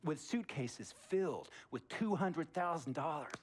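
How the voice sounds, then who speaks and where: An actor playing a wealthy, eccentric, middle-aged team owner in a game cutscene, preachy and theatrical, telling stories with drawn-out pauses and flourishes. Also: male voice, fairly high pitch, expressive. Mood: dramatic, grave. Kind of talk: video game cutscene acting.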